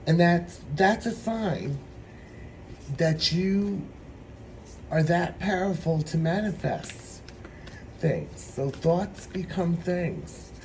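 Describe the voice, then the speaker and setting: A man with a hoarse, troubled throat giving a meandering, reflective spiritual tarot reading. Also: fairly high pitch, sing-song, a little hiss or hum, poor audio. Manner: meandering, reflective, slow-spoken. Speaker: tarot reader.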